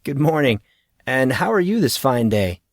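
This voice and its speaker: Man as easygoing teen boy hero for an audiobook, casual and inquisitive, higher register, dry sound. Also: very animated, normal speed, no echo. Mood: friendly, curious. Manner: casual, questioning.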